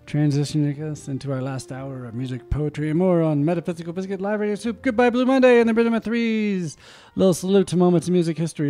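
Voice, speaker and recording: A man hosting a community radio show, reading poetry theatrically, swelling loud and then dropping to a whisper. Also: a little high. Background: faint instrumental music.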